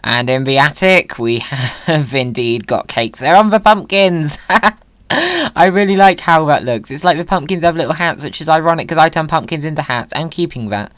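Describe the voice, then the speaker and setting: Chatty excitable gamer host, mid pitched voice, whimsical and playful, dry close mic. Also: normal speed, no echo. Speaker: video host. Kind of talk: playful gaming video commentary.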